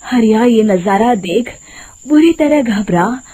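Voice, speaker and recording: A woman's voice: fairly high pitch, lively intonation, slow pace, warm tone, husky. A woman narrating a scripted moral tale in a dramatic storytelling style.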